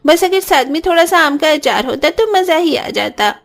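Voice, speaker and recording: A woman's voice: high-pitched, expressive, normal speed, vocal fry. A woman voicing an innocent, hungry little boy in a cartoon story, eager and exclaiming. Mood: delighted.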